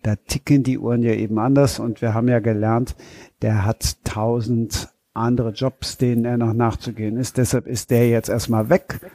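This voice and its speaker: A man's voice: medium pitch, little pitch movement, moderate pace, dark tone, dry recording. A man hosting a casual podcast, asking his guests jovial questions. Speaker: podcast host.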